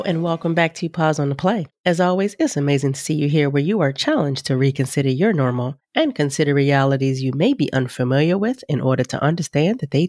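A woman's voice: low-pitched, darkish tone, no room sound, clean studio sound. A woman hosting her podcast, sounding nasal and congested from a sinus infection, chatting in a loose, rambling, folksy way and calling her listeners y'all. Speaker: podcast host. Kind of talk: casual podcast intro chat.

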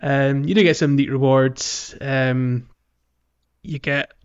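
A man's voice: medium pitch, fairly even intonation, slow, clear, resonant tone, dull audio. A man hosting a casual gaming podcast, explaining things in a hesitant, trailing way. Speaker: podcast host.

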